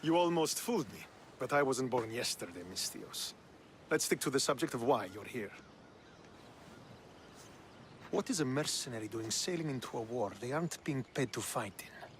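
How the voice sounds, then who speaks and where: An actor playing a proud, skeptical young Spartan officer in a video game, stern and dismissive. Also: male voice, medium pitch, lively intonation, fairly quick, slight background noise, close to an everyday voice. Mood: suspicious, scornful. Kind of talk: video game cutscene acting.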